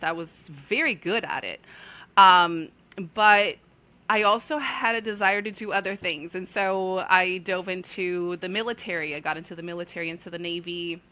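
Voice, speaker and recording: A woman in her forties from Brazil, earnestly telling her life story as a guest, pausing often on fillers. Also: fairly low pitch, bright, forceful tone. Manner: earnest, with many filler pauses. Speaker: guest, intuitive healer. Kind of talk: casual storytelling.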